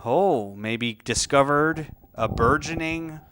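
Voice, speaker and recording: A man's voice: fairly high pitch, slow, fairly bright tone, slight background noise. A man co-hosting a casual comedy chat, agreeable and telling stories with a string of "yeah, yeah, yeah". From Canada. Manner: agreeable, lots of "yeah, yeah, yeah", storytelling. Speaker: podcast co-host.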